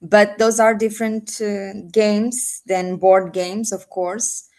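Slow, hesitant Portuguese-speaking woman. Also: medium pitch, steady tone, darkish tone. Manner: hesitant, many fillers. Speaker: guest. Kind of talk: casual chat.

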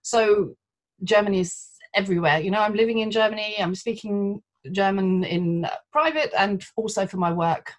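Middle-aged woman, from London, chatty, hesitant, many fillers, mid pitch. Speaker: translator, interview guest. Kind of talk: conversational interview.

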